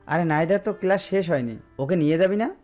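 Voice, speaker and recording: Man as encouraging young male buddy, insistent, fairly high voice, audio drama, soft guitar music behind. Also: fast talker, close to an everyday voice. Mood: urging. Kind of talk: audio story dialogue. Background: background music with guitar.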